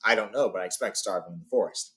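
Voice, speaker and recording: A man's voice: fairly low pitch, quick pace, bright, forceful tone, breathy edge, pitched below the performer's own voice. A man voicing a proud, stubborn, starving dwarf captive in a storybook reading, answering tersely. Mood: sullen, defiant.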